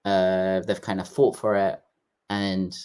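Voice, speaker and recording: A man talking as a guest in a casual interview, explaining things in a halting way with frequent restarts. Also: deep voice, flat delivery, a little mellow, smooth.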